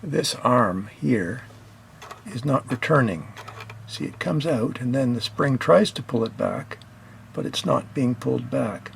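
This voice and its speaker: A man with a gravelly voice plainly and methodically narrating a hands-on repair as the host of a hobby video. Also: medium pitch, expressive, a little slow, a little hiss or hum, low-quality recording.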